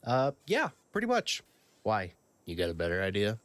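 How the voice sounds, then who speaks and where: A man's voice: fairly low pitch, huge pitch swings, normal speed, a bit bright. A man playing a cynical, pragmatic, roguish adventurer in a comedy audio drama. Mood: dismissive.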